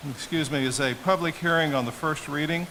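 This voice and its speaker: A man with a halting, deliberate delivery, formally running a town council meeting as mayor. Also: on the high side, bright, forceful tone, low-quality recording. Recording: hissy public meeting room microphone.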